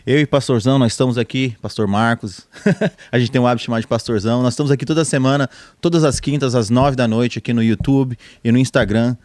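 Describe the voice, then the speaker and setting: A man's voice: medium pitch, steady tone, fast talker, darkish tone, no room sound. A man hosting a church podcast, upbeat and joking with his co-host and guest. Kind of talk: casual church podcast chat.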